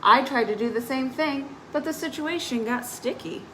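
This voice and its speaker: A woman's voice: sits high, moderate pace, fairly bright tone, slight background noise, voice pushed up, poor audio. A woman voicing a spirited, grumbling tomboy fairy from a storybook, telling her tale expressively. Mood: rueful. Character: spirited tomboy fairy, hundred years old, grumbling about dainty rules.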